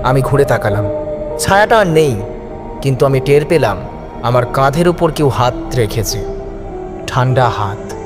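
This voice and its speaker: A man tells a horror tale in the first person with dramatic suspense. His voice sits at a middle pitch. Music plays clearly behind him throughout. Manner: suspenseful, theatrical delivery. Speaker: audio story narrator. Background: background music.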